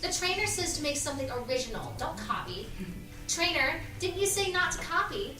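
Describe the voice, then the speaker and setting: A woman's voice: sits high, very animated, normal speed, sharp tone, faint music behind, full character voice. A woman playing a bossy, taunting young schoolgirl in an audio drama table read, insistent and tattling. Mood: bossy, scornful. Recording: roomy, distant mic in read-through.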